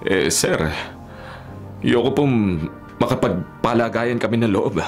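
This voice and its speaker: A man's voice: mid-range voice, animated, takes it slowly. A Filipino-accented man playing a dutiful, disciplined young bodyguard in a radio drama, formal and restrained. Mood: deferential. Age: young adult. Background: music.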